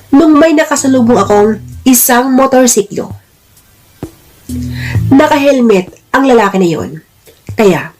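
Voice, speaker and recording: A woman narrating a dramatic first-person story in a deliberate, emotive manner. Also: on the high side, darkish tone, poor audio. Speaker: narrator of a listener's letter drama. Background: music.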